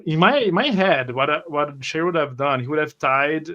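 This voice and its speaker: A man's voice: on the high side, animated, normal speed, a bit bright, lo-fi sound, slight background noise. A man chatting casually as a player in a tabletop game group. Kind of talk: casual chat.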